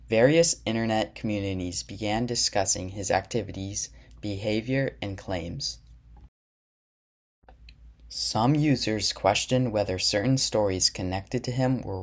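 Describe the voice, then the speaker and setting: Low-voiced man narrating a documentary. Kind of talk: scripted narration.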